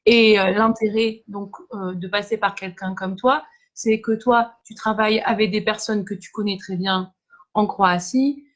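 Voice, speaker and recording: A woman's voice: mid-range voice, fairly even intonation, measured pace, lo-fi sound. A woman hosting an interview for her travel website, introducing her guest, with frequent filler sounds.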